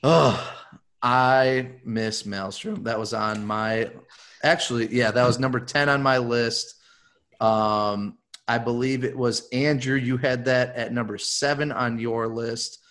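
A man's voice: mid-range voice, fairly even intonation, slow pace, a bit bright. A man from Michigan hosting a casual group podcast, upbeat and rambling with lots of fillers. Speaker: podcast host.

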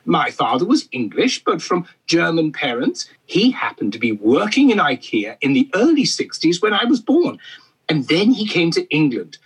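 Eccentric prof done by a guy, husky voice, bounces all over pitch-wise, pompous. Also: big pitch swings, normal speed, poor audio. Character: eccentric half-Swedish male furniture professor. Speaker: guest expert. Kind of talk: comedy podcast interview.

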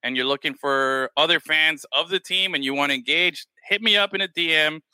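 Casual male podcast host, very bright and forward tone, dry close sound, rambling delivery. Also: a little high, a little fast, clean tone, no echo, low-quality recording. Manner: loose, rambling, heavy on "man" and "bro". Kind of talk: casual chat.

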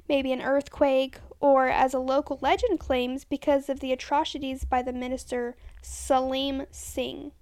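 Podcast co-host, woman; high gravelly voice, casual delivery, reads from notes and trips over names. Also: a little mellow. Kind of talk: casual chat reading history notes.

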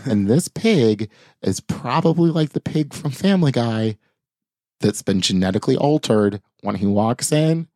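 A man's voice: mid-range voice, measured pace, dry recording. A man co-hosting a comedy podcast, chatting casually and acting out silly bits with frequent laughter. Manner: animated, laughs often, acts out silly voices. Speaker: podcast co-host.

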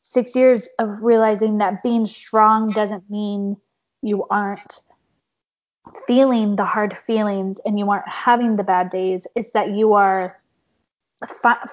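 A young woman hosting a casual conversation, a little hoarse, saying "like" often with an uneven pace. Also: medium pitch, fairly even intonation, slow pace. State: slightly hoarse. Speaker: podcast host. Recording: dull lo-fi sound.

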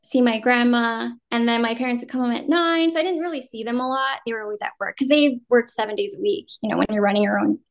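Woman, thirties, American accent, Vietnamese as first language, relaxed rambling interview talk, somewhat high voice. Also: quick pace, hardly any pauses. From New Jersey, US. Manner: relaxed, rambling, many "um" and "like", laughs easily. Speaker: guest actor. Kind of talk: casual interview chat.

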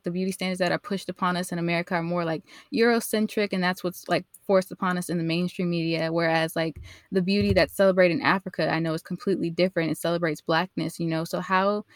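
A woman's voice: lowish voice, no echo, clean studio sound. A woman hosting a podcast interview, asking questions and affirming her guest often. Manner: warm encouraging listener, frequent affirmations. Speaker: podcast host. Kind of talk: casual interview.

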